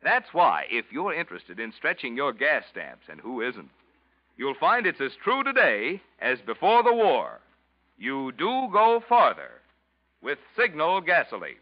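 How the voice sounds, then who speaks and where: A man announcing a wartime commercial with a genial, salesy lilt and a higher, lively voice. It comes through a muffled old radio broadcast. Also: a little slow.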